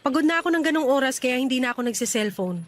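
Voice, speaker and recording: A woman's voice: high-pitched, animated, racing, slight background noise. An actress playing a curt, defensive young woman firmly rejecting a suitor in a radio drama, with an irritated manner. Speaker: voice actor in a radio-style love story. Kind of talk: Filipino radio drama acting.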